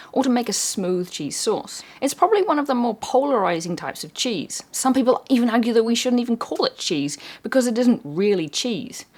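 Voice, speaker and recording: A young woman from New Zealand with a New Zealand accent, hosting a history channel in clear, measured scripted narration. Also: mid-range voice, darkish tone.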